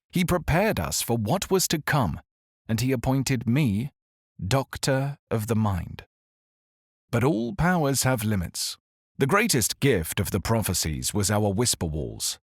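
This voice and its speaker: A man narrating a first-person story as a grave, measured monologue that reads like a scripted address. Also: fairly low pitch, no echo, studio quality.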